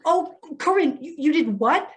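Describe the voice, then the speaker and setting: A woman's voice: high voice, animated, a little slow. A woman playing a patient, concerned social worker in a readers theater drama, speaking in an earnest, coaxing way. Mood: sympathetic, dismayed. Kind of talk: readers theater stage acting.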